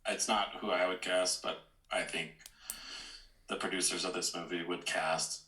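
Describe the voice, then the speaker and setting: Deep, flat-toned man in a roomy space. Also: moderate pace. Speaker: podcast co-host. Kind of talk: casual chat. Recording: roomy, echoey sound.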